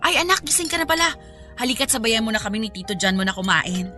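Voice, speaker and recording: A woman playing a casual, gentle Filipino mother speaking to her young daughter in an audio drama. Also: on the high side, lively intonation, quick pace, soft background music. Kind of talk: acted audio drama dialogue.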